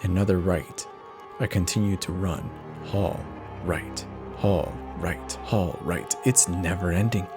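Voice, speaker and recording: A man narrates horror in a low, theatrical voice. His delivery is measured and intense, taking its time over each phrase, with faint music underneath. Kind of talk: dramatic first-person horror reading.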